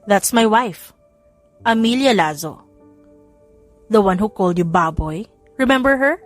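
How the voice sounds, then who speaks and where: A woman's voice: medium pitch, animated, a little slow, faint music behind, lightly acted. A woman voicing a menacing, smirking cruel husband in a taunting audiobook drama dialogue, slipping English into the speech. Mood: smug taunting.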